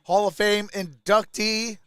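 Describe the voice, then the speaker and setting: A man's voice: high-pitched, flat delivery, slow pace, fairly bright tone, a little hiss or hum. A man hosting a live fan stream, giving informal play-by-play and chatting casually with viewers. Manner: informal, greets viewers by name. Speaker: live-stream sports host.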